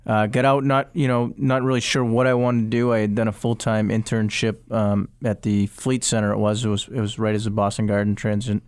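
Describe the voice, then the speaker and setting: A man's voice: fairly low pitch, level tone, fairly quick, few pauses, smooth, no room sound, clean studio sound. A man from New Hampshire, a sports executive guest answering interview questions in an easygoing way with many you-knows. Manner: easygoing, fillers like you know. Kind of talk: radio interview.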